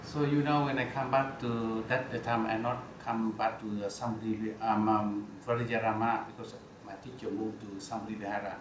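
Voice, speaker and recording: A man's voice: lowish voice, flat delivery. Monk guy rambling thru a story in broken english, lots of uh's, roomy echo. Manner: halting non-fluent English, many "uh" fillers and restarts. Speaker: Buddhist monk telling his story. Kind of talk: storytelling dharma talk. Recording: roomy echo, faint background noise.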